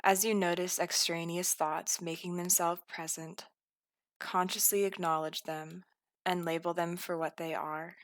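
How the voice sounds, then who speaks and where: A woman guiding a scripted meditation in a calm, soothing, deliberate manner. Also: fairly low pitch, no echo. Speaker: meditation guide.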